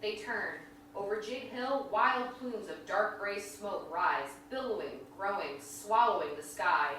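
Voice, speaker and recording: A woman's voice: medium pitch, expressive, a little slow, sharp tone. A woman reading stage directions as dramatic scripted narration. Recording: echoey hall from far off, faint noise.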